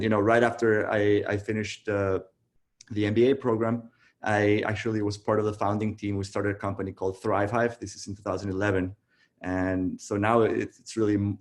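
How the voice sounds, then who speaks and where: A low-pitched man from Costa Rica speaks in an even, flat tone. He tells his story in a relaxed way, full of fillers and restarts, over a video call. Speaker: guest, tech founder. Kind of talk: casual virtual interview.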